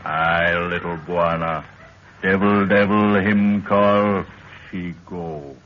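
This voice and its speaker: African warrior, slow solemn pidgin English, terse, 1930s radio drama, thin muffled recording. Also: male voice, low-pitched, fairly even intonation. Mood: solemn, obedient. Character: big stoic African warrior, loyal servant and tracker. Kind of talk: 1930s radio adventure acting.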